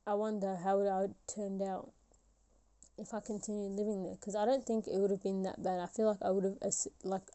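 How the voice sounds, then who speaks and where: A woman's voice: mid-range voice, level tone, fairly quick, dark tone. A woman of about twenty hosting her own podcast, talking reflectively and rambling with many fillers and restarts. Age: 20s. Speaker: podcast host. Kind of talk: solo reflective podcast talk.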